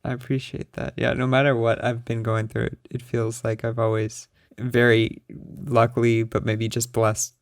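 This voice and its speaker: A man from the west coast of Canada hosting a casual interview podcast over a remote call, relaxed and encouraging. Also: medium pitch, moderate pace, creaky, dry recording, clean studio sound. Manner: relaxed, encouraging, lots of affirming murmurs. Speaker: podcast host.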